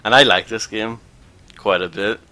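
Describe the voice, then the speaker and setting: A man's voice: fairly low pitch, steady tone, normal speed, bright, forceful tone. A man hosting a gameplay video, talking casually as he plays. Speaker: video host playing a game.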